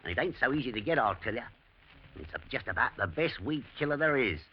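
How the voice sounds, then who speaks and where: An actor playing a fussy, deferential English gardener with a working-class accent who stammers and grumbles, in 1940s radio drama style. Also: male voice, medium pitch, lively intonation, fairly quick, breathy edge. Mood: grumbling, indignant. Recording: muffled, noisy vintage radio drama recording.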